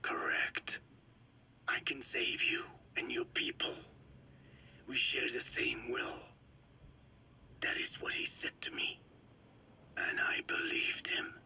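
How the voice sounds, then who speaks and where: Game role: elderly regretful scientist, half-whispered and raspy, solemn, sorrowful, measured storyteller. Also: male voice, mid-range voice, little pitch movement, sharp tone, exaggerated voice, poor audio. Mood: weary, resigned. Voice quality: breathy, whispery, gravelly rasp. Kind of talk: dramatic video game voice acting.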